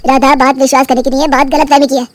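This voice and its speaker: A woman's voice: sits high, little pitch movement, rapid-fire, a little hiss or hum, pitched below the performer's own voice, lightly acted. Woman voicing a stern young husband. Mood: reasoning. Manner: firm, lecturing. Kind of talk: cartoon story voice acting.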